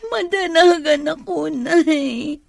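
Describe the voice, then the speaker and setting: Anxious office sweetheart, high pitch, whiny, Ilocano accent, radio comedy drama. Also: female voice, slow, vocal fry, dry recording, heavily acted. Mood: worried, tearful. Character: anxious, whiny debt-ridden female office worker, the schemer's sweetheart.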